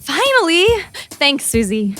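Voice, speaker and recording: A woman's voice: sits high, huge pitch swings, unhurried, a bit bright, soft background music, heavily acted. A woman playing an earnest, pushy teenage student journalist in an audio drama, with an insistent, sassy manner. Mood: impatient. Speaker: voice actor.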